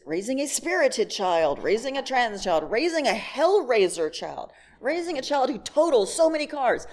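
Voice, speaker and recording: Higher-voiced middle-aged woman telling personal stories. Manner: candid, wry storytelling with acted-out dialogue; laughs as she talks. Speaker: guest speaker at a church service. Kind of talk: personal testimony talk to a congregation.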